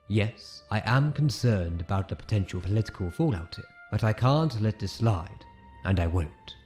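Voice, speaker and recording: An actor playing a stern, pragmatic military academy commandant, blunt and firm, in a dramatic comic dub. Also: male voice, lowish voice, lively intonation, normal speed, darkish tone, soft background music, lightly acted. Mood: stern, concerned.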